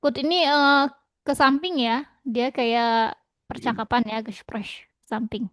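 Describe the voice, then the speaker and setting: Female language teacher, higher-pitched, patient and explanatory, with a verbal "ya" tic, close and dry. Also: steady tone, no echo. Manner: patient, tags nearly every phrase with a quick "ya"; switches between German and Indonesian to explain. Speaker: German teacher. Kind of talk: online language lesson.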